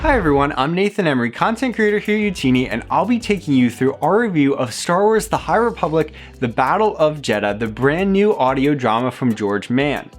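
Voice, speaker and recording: A man's voice: on the high side, big pitch swings, fairly quick, hardly any pauses, faint music behind. A man hosting a review video, reading scripted commentary as a content creator.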